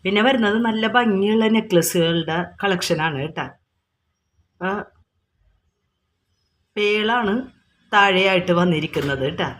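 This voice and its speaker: A woman's voice: medium pitch, unhurried, long pauses. A woman with a Kerala accent informally showing products item by item, explaining each and repeating prices in an unscripted, repetitive way.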